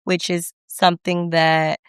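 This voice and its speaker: A woman's voice: fairly low pitch, flat delivery, measured pace, clear, resonant tone, dry recording, studio quality. A young woman co-hosting a faith podcast, talking reflectively and affirming her co-host's points. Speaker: podcast co-host. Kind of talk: faith conversation.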